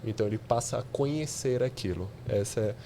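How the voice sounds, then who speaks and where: A man's voice: medium pitch, brisk, mellow, a little hiss or hum. A man, a podcast guest explaining technical ideas step by step with examples.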